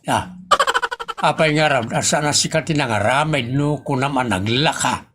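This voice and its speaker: A man's voice: medium pitch, moderate pace, very noisy, close to an everyday voice. A man with an Ilocano accent playing a grumbling, exasperated village father in a comedy audio drama, complaining in exclamations. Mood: indignant.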